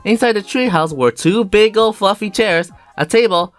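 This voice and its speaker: A narrator reading a children's storybook aloud in an animated, theatrical way for a fan dub. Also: mid-range voice, moderate pace, no echo. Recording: music behind the voice.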